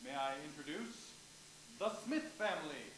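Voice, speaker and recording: A woman's voice: deep voice, expressive, normal speed. A woman in a staged play reading, playing an earnest professor keen to explain ideas. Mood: eager. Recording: very echoey room with clear noise.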